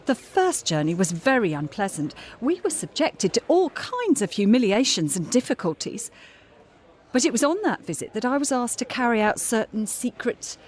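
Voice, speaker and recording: A woman's voice: fairly high pitch, very animated, normal speed. An actress playing a proud, self-justifying famous woman singer in an audio drama, with a commanding, theatrical manner. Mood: self-justifying, dramatic.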